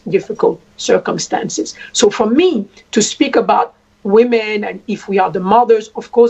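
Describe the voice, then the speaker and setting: A woman from Congo, a traditional queen, speaking at length in a deliberate way and repeating phrases for emphasis. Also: mid-range voice, creaky, a little mellow, breathy edge. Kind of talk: spoken address. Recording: dull lo-fi sound.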